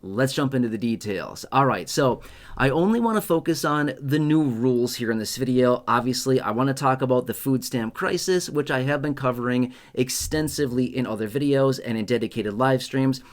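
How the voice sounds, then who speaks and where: A man's voice: medium pitch, normal speed. A man hosting an explainer video in a casual, conversational way, often repeating and rephrasing points for emphasis. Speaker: host of a benefits news channel. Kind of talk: casual explainer talk to camera.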